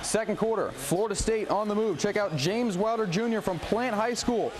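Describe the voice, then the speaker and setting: A man working as a TV sports anchor, calling highlights in a punchy, colloquial, exclamatory way. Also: moderate pace, a little hiss or hum. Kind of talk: highlights commentary and scripted sportscast. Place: TV studio, voice-over to highlights.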